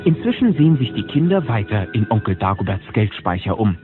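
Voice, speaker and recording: A man's voice: on the low side, big pitch swings, brisk, phone-quality sound. A man narrating a German children's audio drama as a wry, knowing storyteller. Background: music.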